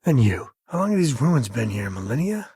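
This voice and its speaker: Loyal space ranger, game hero, heavily husky rasp, mid-pitched, earnest, wry, conversational. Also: male voice, big pitch swings, normal speed, mellow, no room sound, studio quality, full character voice. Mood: wry, steady. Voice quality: husky, raspy. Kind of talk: video game character voice lines.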